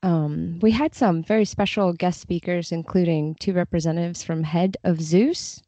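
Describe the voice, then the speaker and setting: A woman's voice: lowish voice, steady tone, moderate pace, dark tone, no room sound. A woman chatting casually as a guest in an interview, on slightly dull audio. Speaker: podcast guest, freelance translator.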